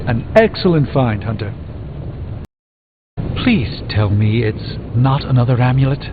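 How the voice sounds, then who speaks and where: An actor playing an erudite, dryly witty sorcerer in a video game, with pompous, theatrical, ornate delivery. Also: male voice, mid-range voice, animated, unhurried, very noisy, lightly acted. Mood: intrigued, appraising. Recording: muffled phone-like band, music behind.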